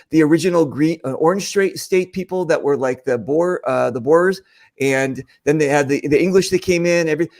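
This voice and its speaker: Guy hosting a chat show, kinda high voice, rambly, lots of restarts. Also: a little mellow. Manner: rambling, restarts sentences, curious. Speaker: podcast host. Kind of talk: casual chat, asking questions.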